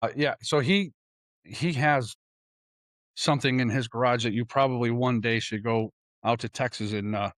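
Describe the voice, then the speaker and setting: Guy hosting a chat, slangy and excitable, medium pitch, no room echo at all. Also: normal speed, clean studio sound. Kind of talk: casual chat.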